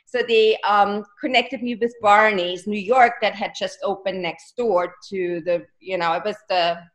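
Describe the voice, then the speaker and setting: A woman's voice: mid-range voice, fairly even intonation, measured pace, low-quality recording. A woman in her forties from Germany with a German accent, a guest chatting casually and laughing easily over a video call. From Germany, near Salzburg. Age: 40s. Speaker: guest, costume designer. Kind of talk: casual interview chat. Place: home room, video call. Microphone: webcam on video call.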